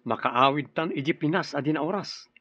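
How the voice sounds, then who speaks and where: A man's voice: a little high, a little fast, fairly bright tone, dull audio. A man playing a practical, impatient young man in an Ilocano radio drama.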